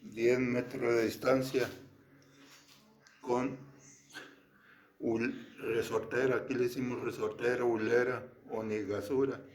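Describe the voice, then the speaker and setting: A Texas-born man in his fifties, casually explaining and demonstrating slingshot shooting to the camera outdoors, in a plain way with pauses and restarts. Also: mid-range voice, level tone. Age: 50s.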